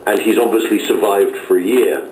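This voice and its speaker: A man's voice: lowish voice, fairly quick, darkish tone, slight background noise. A man from Essex, a radio presenter, chatting in a meeting and repeating words as he goes. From Essex, England. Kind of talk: editorial meeting chat. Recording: echoey room from far off.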